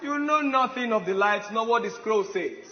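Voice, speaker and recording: A man's voice: sits high, animated, normal speed. A man playing a boastful, self-important warrior commander in a comic stage play, declaiming pompously. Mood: smug. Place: stage in a large hall with audience. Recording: echoey hall, muffled, noisy audience.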